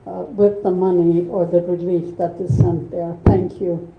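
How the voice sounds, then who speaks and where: Older woman, native Low German speaker, author guest; slow, earnest delivery; dull roomy room sound. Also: level tone. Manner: slow, earnest, soft-spoken. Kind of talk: reflective conversation at a book event. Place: bookstore event space.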